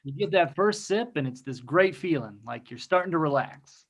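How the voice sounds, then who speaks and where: Man playing animated, goofy awkward suitor. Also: fairly high pitch, normal speed. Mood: glum. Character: goofy, self-deprecating male admirer of a robot. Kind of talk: comedy table read. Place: room at home, video call. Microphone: video call computer microphone.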